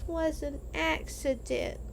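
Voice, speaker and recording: Woman doing an excitable, high-pitched little boy. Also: takes it slowly, creaky, a little hiss or hum, full character voice. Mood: pleading, squealing. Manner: squealing, shouting. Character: eager, excitable little brother, a small boy.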